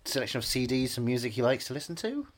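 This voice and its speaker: A man in improvised comedy banter, questioning his partner in a bemused, rapid-fire way. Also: mid-range voice, big pitch swings, a little hiss or hum.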